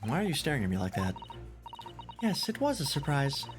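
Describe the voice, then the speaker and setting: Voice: medium pitch, huge pitch swings, brisk, over music. A streamer reading a polite young female assistant, teasing and courteous.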